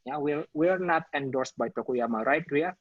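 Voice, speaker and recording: A man's voice: fairly high pitch, normal speed, breathy edge, no echo, lo-fi sound. A man from Indonesia, a dentist answering questions in a webinar with loose "you know" asides. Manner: explains at length with frequent "you know" fillers. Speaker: dentist presenter. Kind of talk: webinar Q&A explanation.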